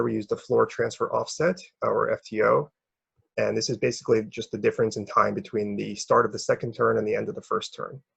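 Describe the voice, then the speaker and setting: A man presenting academic research as a researcher, explaining step by step in a hesitant, halting way with a gravelly, husky voice. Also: on the low side, a bit bright, dry recording, muffled recording.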